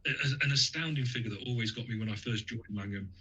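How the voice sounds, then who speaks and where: A man's voice: mid-range voice, fast, pushed and bright, lo-fi sound, a little hiss or hum. A man from the UK speaking as a guest on a radio interview, talking in a hesitant way with many fillers and restarts.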